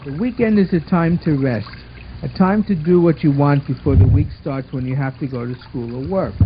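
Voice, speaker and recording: A man's voice: on the high side, moderate pace, close to an everyday voice. A man voicing an earnest, orderly twelve-year-old boy in a scripted puppet show, explaining things in a bossy, affectionate way. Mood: content. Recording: muffled amateur recording with watery noise.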